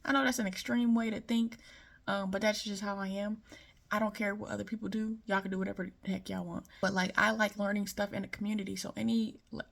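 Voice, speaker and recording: A woman's voice: medium pitch, little pitch movement, fast, gravelly. A woman from Detroit with a light Southern accent, giving a frank, opinionated casual monologue. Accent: light Southern American. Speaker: commentator.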